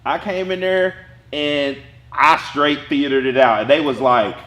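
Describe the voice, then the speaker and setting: Animated man with a strong voice. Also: fairly high pitch, unhurried, reverberant. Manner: animated, acts out voices in his stories. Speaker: acting teacher. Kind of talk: casual class discussion.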